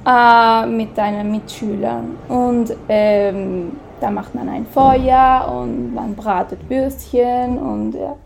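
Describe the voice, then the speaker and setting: A woman's voice: fairly high pitch, fairly even intonation, slow pace, creaky, hardly any pauses, a little hiss or hum. A woman from Switzerland with a Swiss German accent, a guest in a casual interview, talking hesitantly with many fillers and laughing often.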